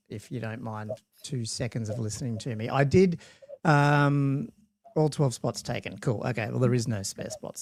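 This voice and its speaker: Australian man, podcast host, gravelly voice, dry close sound, rambling casual chat. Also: mid-range voice, a little mellow, dry recording. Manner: rambling, chatty, laughs often.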